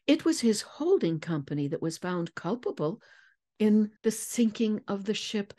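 A woman's voice: mid-range voice, sing-song, measured pace, dark tone, voice pushed up. A woman voicing a confident, shrewd woman detective in a declamatory storybook reading, self-assured in her explanations. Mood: assured, explanatory.

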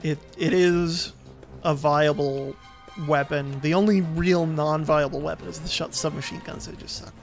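A man commentates casually over a video game. His voice sits fairly high, and he explains things bluntly. Clear game music and gunfire run behind him. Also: fairly even intonation, normal speed. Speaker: let's play commentator.

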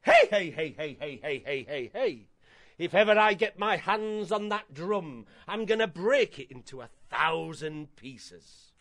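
A man's voice: fairly high pitch, expressive, a little slow, fairly bright tone. A man voicing a horrible, bossy old aunt from a folk tale, with a cackling old lady voice and a scolding manner. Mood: menacing cackle. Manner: scolding, repetitive demands. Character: horrible old village aunt, bossy, grudging, drum-hating.

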